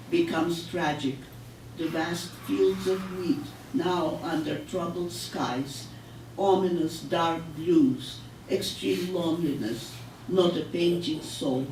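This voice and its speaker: An older woman reading her poetry aloud in a measured, solemn way with deliberate pauses. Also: fairly low pitch, darkish tone. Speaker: poet. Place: public library room, live reading. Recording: echoey room, distant mic, noisy.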